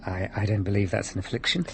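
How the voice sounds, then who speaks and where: A man's voice: on the low side, fairly even intonation, moderate pace, creaky, soft background music. An actor with a British accent playing an awkward, anxious, earnest man in a radio drama, speaking hesitantly. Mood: awkward yearning. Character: awkward, anxious, romantic man of a dystopian future.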